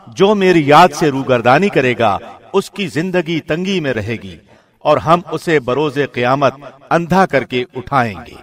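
Higher-pitched man reading scripture formally. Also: lively intonation. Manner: measured, formal announcer delivery. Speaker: translation reader.

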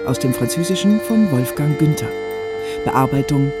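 A man's voice: mid-range voice, expressive, normal speed, over loud music. A man announcing the title and credits of a radio drama.

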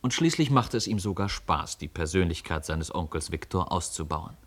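A man narrating a radio drama as a storyteller, reading literary prose in a measured, articulate way. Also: deep voice, lively intonation.

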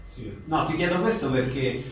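A man interviewing a guest live, phrasing his questions in a conversational, tentative, halting way. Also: on the high side, lively intonation. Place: echoey venue at a live book presentation. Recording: very echoey room, distant mic, faint noise.

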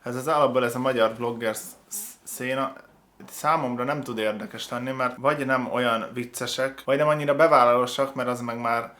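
A man hosting a reaction show, giving casual, opinionated commentary in a halting way, often correcting himself. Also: medium pitch, steady tone, a bit bright. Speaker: host of a video reaction show.

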